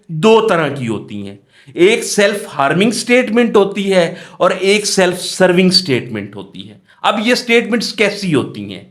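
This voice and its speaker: A man's voice: high-pitched, fairly bright tone. A man with a Pakistani accent giving an explanatory law lecture in a teacherly question-and-answer manner. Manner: asks rhetorical questions and answers them; reads English statute phrases, then explains them in Hindi-Urdu. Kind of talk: explanatory exam-prep lecture.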